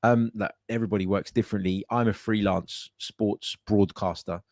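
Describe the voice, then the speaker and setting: Male podcast host with a low voice and a dry home-office sound, casual and chatty. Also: fairly even intonation, moderate pace, a little mellow, no echo. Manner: conversational, chatty, with frequent fillers and restarts. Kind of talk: casual call-in podcast chat.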